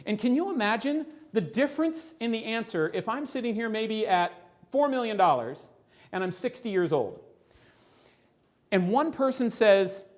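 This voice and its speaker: A man presenting financial ideas to a live audience in a room, animated, often asking the listeners rhetorical questions. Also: sits high, moderate pace.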